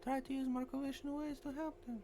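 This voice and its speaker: Woman acting squad leader, female, blunt, weary, dark soft tone, visual novel dialogue, faint background noise. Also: sits high, flat delivery, fast talker, lightly acted. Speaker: reader of a game character's lines.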